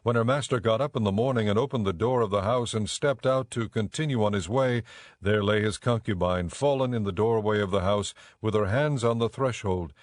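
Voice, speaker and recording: A male narrator reads scripture in a measured, solemn storytelling manner. His voice is fairly low, and the delivery is that of a scripted audiobook. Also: dry recording, studio quality.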